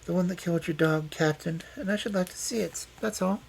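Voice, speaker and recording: A man voicing a calm, shrewd lady detective. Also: high-pitched, a little fast, gravelly, slight background noise, voice pushed up. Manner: calm, probing questions.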